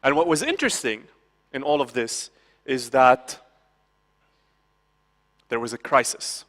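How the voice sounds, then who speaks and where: A man gives a conference talk in a casual, chatty way. He checks in with the audience and restarts his sentences often. His voice sits at a middle pitch, and a long hall reverb trails behind it. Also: lively intonation, a little slow, fairly bright tone. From Lebanon. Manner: conversational, frequent "okay?" asides and restarts. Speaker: conference speaker.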